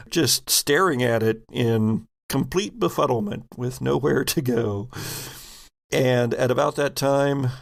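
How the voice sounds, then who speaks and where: A man improvising narration as game master of a tabletop role-playing game, halting as he searches for words. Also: mid-range voice, vocal fry.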